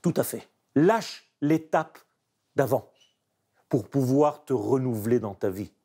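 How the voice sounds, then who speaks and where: A man's voice: mid-range voice, slightly rough. A man, a painter speaking French as a second language, reflecting emphatically in an interview. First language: not French. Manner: animated, emphatic, searching pauses. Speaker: painter guest.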